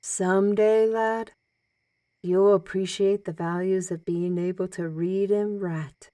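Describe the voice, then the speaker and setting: A woman playing a towering half-blood moose teacher, measured and gently instructive, in an audio drama. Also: mid-range voice, fairly even intonation, no echo, studio quality, close to an everyday voice. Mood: calm, kindly.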